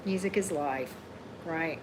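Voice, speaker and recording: A woman with a deep voice, hosting a tarot livestream as casual chat, greeting viewers by name and often tagging on "right?". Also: takes it slowly, background noise. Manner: chatty, keeps asking "right?", greets commenters by name.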